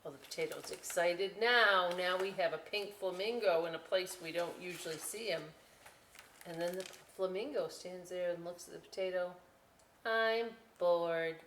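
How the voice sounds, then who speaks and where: A woman's voice: lowish voice, normal speed, slight background noise. Chatty woman storytime host, echoey room. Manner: chatty, expressive, addresses children directly. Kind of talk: children's storytime and craft talk.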